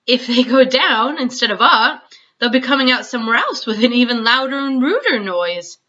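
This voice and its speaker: A woman's voice: a little high, expressive, moderate pace, sharp tone, dull audio, voice pushed up. A woman voicing a polite, inquisitive young girl from a storybook in a matter-of-fact way. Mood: explaining, slightly embarrassed.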